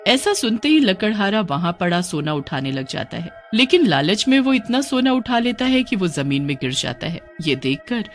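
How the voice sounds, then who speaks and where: A woman's voice: mid-range voice, big pitch swings, moderate pace, hardly any pauses, soft background music. A woman narrating a scripted horror folk tale as a storyteller.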